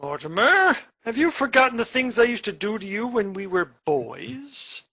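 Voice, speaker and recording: An actor playing a sinister, commanding criminal brother in a radio play, with a threatening manner. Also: male voice, very high-pitched, big pitch swings, normal speed, sharp tone, exaggerated voice. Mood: coolly menacing.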